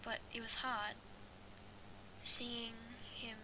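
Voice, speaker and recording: A woman reading a story aloud as an amateur, halting and stumbling over her words. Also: fairly high pitch, level tone. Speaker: fan fiction reader. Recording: clearly noisy amateur recording.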